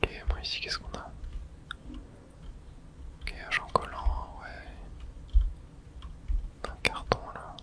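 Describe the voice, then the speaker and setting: A man's voice: slow, long pauses. A man whispering ASMR video game commentary, murmuring short reactions to himself.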